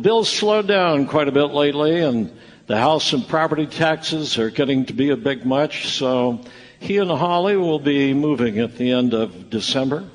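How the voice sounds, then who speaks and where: A man narrating a radio play in a conversational storytelling style. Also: medium pitch. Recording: reverberant hall. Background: music.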